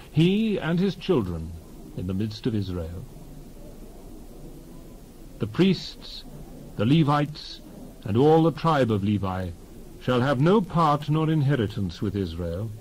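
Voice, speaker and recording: A man narrates scripture in a solemn, declamatory style. His mid-range voice rises and falls with lively emphasis through measured phrases. There is clear background noise in the recording. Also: mid-range voice, rough recording. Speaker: Bible narrator. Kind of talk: dramatized scripture reading.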